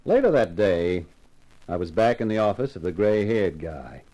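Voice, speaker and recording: An actor playing a wry, tough private eye in a 1950s radio crime drama. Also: male voice, sits low, big pitch swings, normal speed, warm tone, muffled recording. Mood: tense narration. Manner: wry, wisecracking. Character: wisecracking tough private eye, brave and flirtatious. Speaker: lead actor and narrator.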